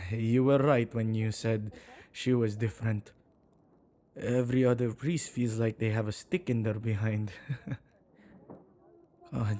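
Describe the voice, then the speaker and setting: A man playing a flustered, self-neglecting male witch in an ASMR audio roleplay, speaking intimately and haltingly to the listener. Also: medium pitch, dark tone. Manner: hesitant, halting, with sighs and murmured asides.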